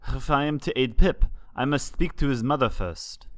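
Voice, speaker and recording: A regal dark alicorn princess, voiced by a man for a storybook reading. The delivery is formal and gracious, with stately old-fashioned phrasing. The sound is dry and free of room echo. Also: mid-range voice, moderate pace, close to an everyday voice. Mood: kindly. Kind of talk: fan fiction audiobook character voice.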